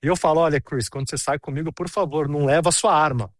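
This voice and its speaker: A man's voice: a little high, steady tone, fast talker, no room sound. Chatty man in his thirties, dry sound. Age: 30s. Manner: chatty, storytelling asides. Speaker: reporter on location. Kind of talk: casual on-location report.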